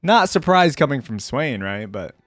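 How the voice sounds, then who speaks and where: A man hosting a reaction video with casual, excited commentary. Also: mid-range voice, normal speed, no room sound, studio quality, faint music behind.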